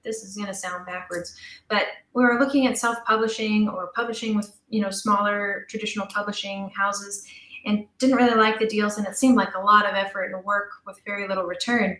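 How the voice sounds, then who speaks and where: A young woman speaks as a guest in a casual podcast interview. Her talk is chatty and emphatic, with a middle-range pitch. The recording has a noticeably roomy echo. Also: fairly even intonation, fairly quick, bright, forceful tone. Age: young adult. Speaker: interview guest, audio drama creator. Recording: roomy, echoey voice.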